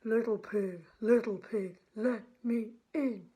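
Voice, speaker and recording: A woman voicing a menacing male wolf villain from a children's storybook, with huffing, drawn-out threats. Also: fairly high pitch, mellow. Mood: loud menace.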